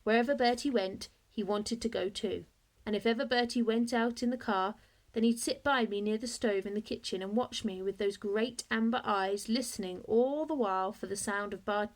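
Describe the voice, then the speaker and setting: A woman reading a children's storybook aloud as a first-person narrator, in a measured, expressive way. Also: mid-range voice.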